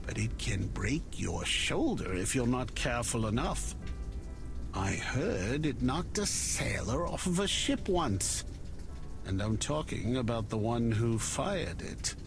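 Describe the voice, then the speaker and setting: A man voicing a chatty, obliging weapons merchant from the musket era in a video game, talking in unhurried salesman patter. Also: mid-range voice, sing-song, vocal fry, music in the background, full character voice. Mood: cautionary, amused. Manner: unhurried, conversational salesman patter with dramatic pauses. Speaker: game shopkeeper character.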